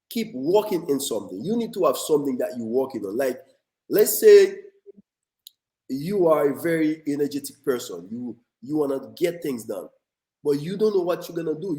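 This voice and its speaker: A man's voice: on the high side, warm tone. A man from Haiti with a Haitian accent, a guest on an interview show earnestly telling his life story, often repeating words as he goes. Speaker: guest, motivational speaker. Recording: echoey room.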